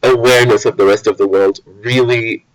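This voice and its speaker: A man's voice: on the low side, steady tone, poor audio. A young man from Kuwait, an international student speaking hesitantly in an interview. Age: young adult. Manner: hesitant, measured. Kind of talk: documentary interview.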